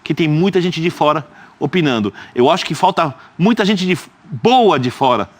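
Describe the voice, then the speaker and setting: A man's voice: on the high side, expressive, moderate pace. Emphatic man debating football. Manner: emphatic and explanatory, with rhetorical asides. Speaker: studio guest commentator.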